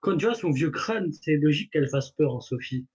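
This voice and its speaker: A young adult chatting casually with friends in slangy, halting phrases. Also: mid-range voice, lively intonation, darkish tone, highs cut off. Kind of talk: casual group chat. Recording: faint background noise with clicks.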